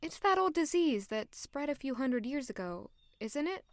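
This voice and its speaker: An actress playing a young female palace servant who is polite and deferential, speaking softly. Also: high-pitched, very animated, moderate pace, no room sound. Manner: polite, deferential and soft-spoken. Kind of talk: audio drama acting.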